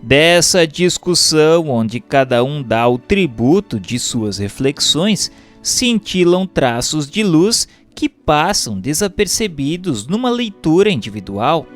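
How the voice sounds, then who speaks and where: A Brazilian man reading a book aloud as scripted audiobook narration, in a measured, formal way. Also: on the high side, big pitch swings, soft background music.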